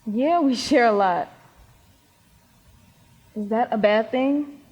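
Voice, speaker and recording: Woman playing high-voiced troubled teenage girl. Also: slow, breathy edge, muffled recording. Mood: guarded, surprised. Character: troubled, sincere teenage girl, guarded and weary. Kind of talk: stage play acting. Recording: echoey hall stage recording.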